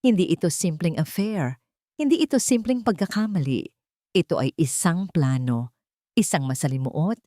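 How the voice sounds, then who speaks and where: A woman's voice: low voice, dark tone, no room sound. A woman narrates a scripted drama in the manner of an audiobook. The sound is dry, with very little room in it.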